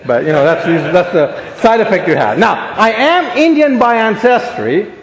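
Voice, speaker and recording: High-voiced man joking in an echoey hall. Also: lively intonation, moderate pace. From born in Ghana, grew up in Africa, Asia and the Caribbean. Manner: self-deprecating, joking, storytelling. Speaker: guest speaker. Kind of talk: humorous speech to an audience.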